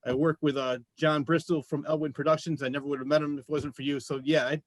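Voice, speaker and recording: A man with a fairly high voice speaks as the co-host of a casual interview show. His manner is effusive and appreciative, and the sound is close and dry. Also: level tone, fast, dry recording.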